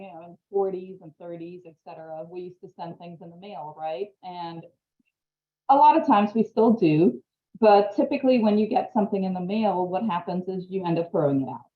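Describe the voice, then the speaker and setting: A woman's voice: medium pitch, roomy sound. A woman from Trinidad teaches marketing in a friendly, chatty way. She speaks over a video call. The sound is thin and phone-like. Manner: friendly, chatty, laughs easily. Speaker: marketing instructor. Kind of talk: online presentation.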